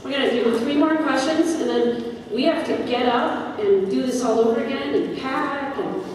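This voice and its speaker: A woman's voice: high-pitched, moderate pace, a little mellow. A woman, a drama director, talking off the cuff to an audience and repeating phrases for emphasis, recorded in an echoey auditorium. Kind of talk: spontaneous talk to an audience. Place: school theater. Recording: echoey auditorium from far off, noisy.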